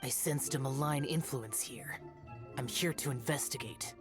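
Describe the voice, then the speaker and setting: A man's voice: mid-range voice, normal speed, close to an everyday voice. A man voicing a stern, aloof young male guardian who slays demons in a video game, speaking in a cold, formal way. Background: game music.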